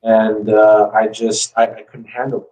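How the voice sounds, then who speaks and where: A man's voice: fairly low pitch, monotone, normal speed, a little mellow, recorded from a distance, rough recording. A man telling his story as a guest in a casual interview, speaking informally with lots of "you know". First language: English.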